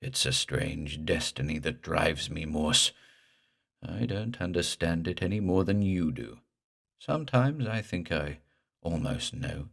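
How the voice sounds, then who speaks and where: A man voicing a drowned dead man's slow guttural drawl. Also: rumbling low, lively intonation, gravelly, fairly bright tone, full character voice. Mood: menacing.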